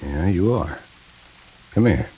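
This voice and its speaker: A man's voice: deep voice, expressive, slow pace, mellow, breathy edge, exaggerated voice, rough recording. An actor playing a wry, hard-boiled man in a 1940s radio thriller, dry and terse. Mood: wry, defiant. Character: wry hard-boiled man narrating his noir ordeal. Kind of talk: 1940s radio drama narration and acting.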